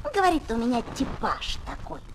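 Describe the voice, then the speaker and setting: A high, very lively boy's voice, dramatic and tender-hearted, spins a tale in a 1970s Soviet children's radio play. A light layer of old record noise sits over it. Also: brisk. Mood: eager storytelling. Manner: animated, emotional storytelling. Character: boastful yet tender-hearted schoolboy Tolka, would-be film actor. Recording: noisy old 1970s flexi-disc record.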